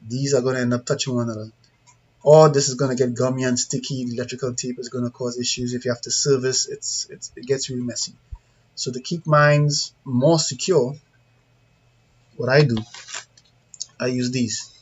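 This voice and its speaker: A man's voice: mid-range voice, steady tone, normal speed, pauses often, husky. A man with a Trinidadian accent giving an informal hands-on tutorial, talking through each step in a plain-spoken, conversational way and checking in with viewers. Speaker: CCTV installer giving a tutorial. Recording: dull lo-fi sound with background noise.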